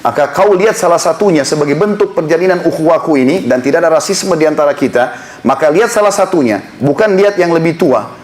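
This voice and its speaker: A man's voice: fairly high pitch, animated, fast talker, a little mellow. Impassioned male preacher, echoey hall. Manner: impassioned, rising to emphatic exhortation. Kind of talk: Islamic sermon lecture. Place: prayer hall in a building.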